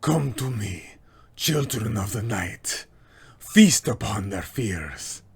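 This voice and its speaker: A man voicing a menacing aristocratic vampire lord for a tabletop game, raspy and creaky, with slow, pompous delivery. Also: lowish voice, lively intonation, exaggerated voice. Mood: commanding.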